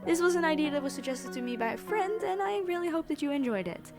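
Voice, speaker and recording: A woman's voice: high-pitched, animated, quick pace, over music. A woman ASMR creator giving a casual outro straight to her viewers.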